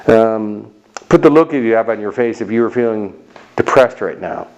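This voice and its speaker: A middle-aged man from Chicago with an American accent, giving a lively motivational talk and acting out short voices in his stories. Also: fairly low pitch, moderate pace, low-quality recording. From Chicago, United States. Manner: animated storyteller, acts out little voices and jokes. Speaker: motivational speaker.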